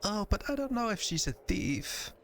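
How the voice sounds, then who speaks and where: Man voicing a high-pitched, timid, sweet young woman. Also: very animated, moderate pace, fairly bright tone, a little hiss or hum, full character voice. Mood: reluctant, flustered. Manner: hesitant, gushing, exaggerated when scolding.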